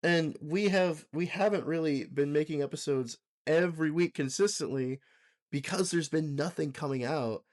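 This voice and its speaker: A hoarse young man recovering from a sickness, co-hosting a casual motorsport podcast in a rambling, filler-heavy way with frequent laughs. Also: a little high, moderate pace, darkish tone. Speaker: podcast co-host.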